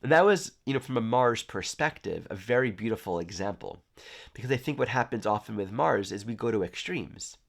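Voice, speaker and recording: Congested nasal man, low voice. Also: animated, brisk, creaky. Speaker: astrology teacher. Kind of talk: reflective spiritual talk to camera.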